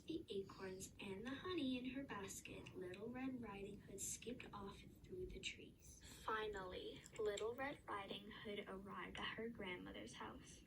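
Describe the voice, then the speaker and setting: A woman's voice: mid-range voice, gravelly, noisy background. A woman reading a children's fairy tale aloud as a storyteller.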